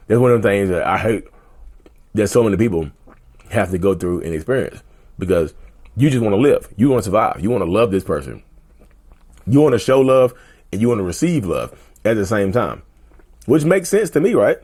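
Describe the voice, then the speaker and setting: A man's voice: deep voice, a little mellow, husky. Casual man talking to viewers. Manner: conversational, repeats himself, often addresses viewers as "y'all". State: voice getting hoarse. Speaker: mental health video host. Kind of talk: casual direct-to-camera talk.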